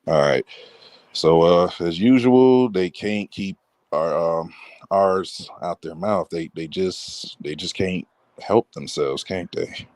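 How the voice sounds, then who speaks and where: A man's voice: low-pitched, takes it slowly, a little mellow, no echo. A man from Detroit chatting casually in a blunt, slangy way. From Detroit, United States. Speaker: panel caller. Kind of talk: casual chat.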